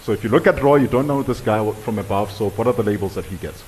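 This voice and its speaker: A man's voice: lowish voice, quick pace, darkish tone, a little hiss or hum, low-quality recording. A man giving a conference talk, making firm, emphatic points to the audience. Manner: emphatic, assertive statements. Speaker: diversity workshop presenter.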